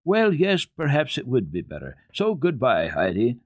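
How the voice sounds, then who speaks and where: A man voicing a tender-hearted, kindly gentleman doctor in a children's storybook reading, gentle, soothing and earnest. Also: mid-range voice, huge pitch swings, moderate pace, dry recording, voice pushed up. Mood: wistful, tender.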